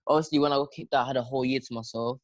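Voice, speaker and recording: A man's voice: medium pitch, steady tone, rapid-fire, no echo. A teenage boy, chatting casually as a podcast guest, rambling with lots of fillers, slang and laughs. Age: teens. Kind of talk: casual chat.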